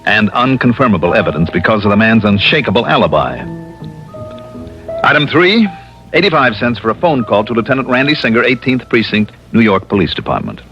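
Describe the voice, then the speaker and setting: A dull, vintage radio recording carries a cocky American male private investigator. His voice sits on the low side, dry and clipped, telling his case with wry confidence. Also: very animated, a little fast, fairly bright tone, faint music behind. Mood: matter-of-fact, wry. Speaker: lead actor and narrator. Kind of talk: old-time radio detective drama.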